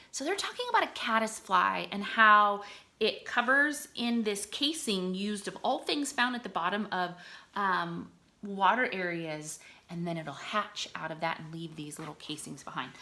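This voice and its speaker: A woman reads aloud in the manner of a teacher with young listeners. Her voice is bright and moves expressively up and down. She enunciates deliberately and explains things in simple terms. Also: medium pitch. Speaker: teacher reading aloud to children.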